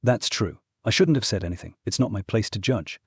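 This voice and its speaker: Scheming ex-god uncle, acted by a man: imperious, persuasive, dark-toned and husky, hungry for old glory, fantasy audiobook. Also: lowish voice, animated, a little fast, no echo, studio quality, heavily acted. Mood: placating. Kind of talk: full-cast fantasy audiobook acting.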